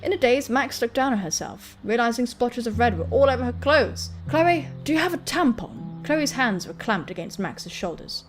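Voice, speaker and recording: A woman's voice: a little high, moderate pace, few pauses, faint music behind. A woman doing let's-play commentary, reading a visual novel aloud and joking crudely with plenty of swearing. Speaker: gaming video host.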